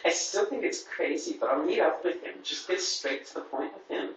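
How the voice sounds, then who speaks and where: A man's voice: fairly high pitch, lively intonation, fairly quick, a crowd in the background. A man giving a dramatic prose reading to a group, with casual banter in between. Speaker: reader at a writers' group reading. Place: echoey room with a group present. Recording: very echoey, noisy room, distant mic.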